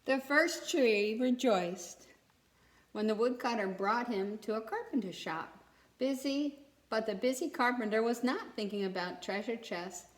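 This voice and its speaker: Echoey woman reading a children's story aloud. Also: fairly high pitch. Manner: pauses to ask young listeners questions. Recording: echoey room.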